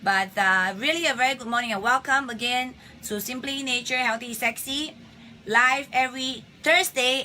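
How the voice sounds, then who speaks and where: A woman with a husky voice hosting a casual live-streamed health talk, chatting and greeting viewers by name between points. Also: a little high, pushed and bright, slight background noise. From Singapore. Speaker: health talk show host. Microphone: phone or webcam on a live stream.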